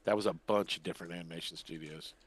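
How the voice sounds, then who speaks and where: An older man hosting a podcast in casual chat, rambling and pausing as he recalls things. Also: sits low, fairly even intonation, husky. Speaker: podcast host.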